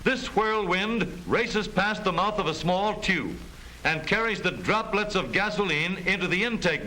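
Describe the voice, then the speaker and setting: Bright, high-pitched 1930s American film narrator man. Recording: noisy vintage 1930s film soundtrack.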